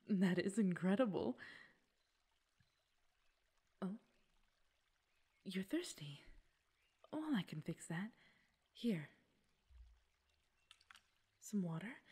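A woman playing a gentle, coaxing fey queen with secret possessiveness in an ASMR roleplay, speaking breathily and formally, often near a whisper. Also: mid-range voice, expressive, husky, heavily acted. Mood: sweet, gracious. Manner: slow, soothing, slightly formal speech. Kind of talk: ASMR roleplay audio drama.

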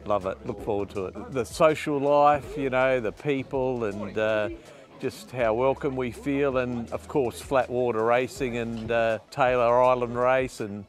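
An older man, a yacht owner talking upbeat and halting after a race, outdoors at a marina at night on a lapel mic. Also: medium pitch. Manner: relaxed, upbeat, halting with fillers. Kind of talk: post-race interview.

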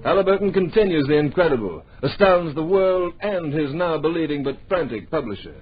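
An actor as the earnest, dramatic narrator of a radio drama, delivering scripted adventure storytelling in a grand, deliberate manner. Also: male voice, fairly high pitch, lively intonation, lightly acted. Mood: stirring. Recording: dull old radio recording.

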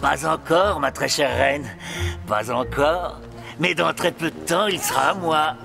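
Gravelly, gloating gnome villain. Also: male voice, fairly high pitch, bright, forceful tone, soft background music, full character voice. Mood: menacing, taunting. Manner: mock-polite, taunting, drawn-out delivery. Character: sly gloating gnome sorcerer, male, menacing and theatrical. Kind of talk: theatrical cartoon villain acting.

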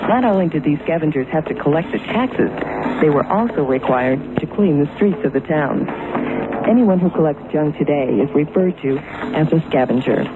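A man reading a scripted word-of-the-day segment on military radio, in an even manner, heard through a noisy shortwave recording. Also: a little high, normal speed, music in the background. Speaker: radio announcer.

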